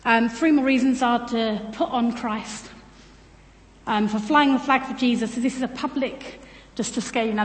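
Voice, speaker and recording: A British woman giving an informal, chatty church talk in a self-deprecating way. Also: on the high side. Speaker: church speaker presenting on baptism. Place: large church room, speaking to a congregation. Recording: echoey hall with long reverb.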